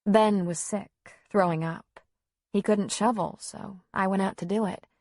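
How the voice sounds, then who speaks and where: A woman's voice: medium pitch, steady tone, unhurried, a little mellow, dry recording. A woman narrator acts out a pregnant mother under attack in an audiobook drama. She is emotional and pleading, defiant yet frightened, in a dry, close sound. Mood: defensive.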